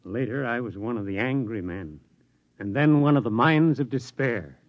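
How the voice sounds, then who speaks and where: An actor playing a weary, disillusioned elderly scientist in a reflective 1950s radio drama style. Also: male voice, medium pitch, lively intonation, no echo, close to an everyday voice. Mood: resigned. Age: older. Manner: reflective, measured speechmaking. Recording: dull vintage radio recording.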